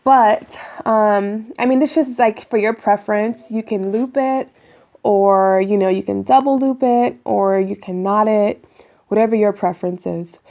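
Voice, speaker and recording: A young woman with a raspy voice from a cold, chatting casually to viewers while demonstrating a hair tutorial at home. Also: medium pitch, fairly even intonation, measured pace, warm tone. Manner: chatty, addresses viewers as you guys, many ums. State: raspy voice getting over a cold. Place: bedroom at home, indoors.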